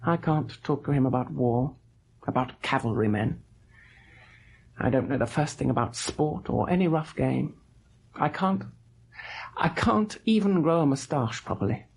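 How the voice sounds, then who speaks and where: An actor playing a weak, gentle husband of about thirty in a radio drama, husky and halting, with a plaintive, weary manner. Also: male voice, medium pitch, slight background noise. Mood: self-reproachful. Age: 30s. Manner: halting, plaintive, self-doubting. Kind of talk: old radio drama acting.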